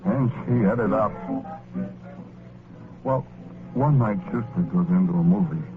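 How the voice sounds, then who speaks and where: A man's voice: medium pitch, animated, unhurried, gravelly, over music. An actor with an American accent playing a well-meaning, exasperated working-class ghost who tells his story in slangy 1940s radio drama style. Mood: wry storytelling. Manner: colloquial, folksy slangy storytelling. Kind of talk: 1940s radio horror-comedy acting, first-person narration. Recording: scratchy, noisy, narrow-band old radio recording.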